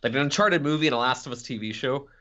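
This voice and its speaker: A man's voice: mid-range voice, animated, quick pace, bright, forceful tone, highs cut off. A man co-hosting a podcast, giving his opinions in casual conversation. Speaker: podcast co-host.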